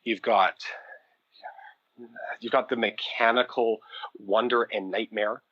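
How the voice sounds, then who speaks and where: A Canadian man chatting as a podcast guest, telling stories in an animated, conversational way and quoting people as he goes. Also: fairly low pitch, slow pace, no room sound, highs cut off. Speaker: podcast guest, audio drama producer. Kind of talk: conversational interview storytelling.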